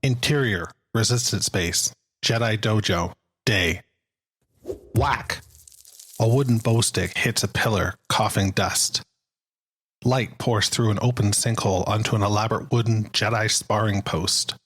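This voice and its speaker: A man's voice: lowish voice, measured pace, fairly bright tone, breathy edge. A man narrating screenplay stage directions in a dramatic scripted style.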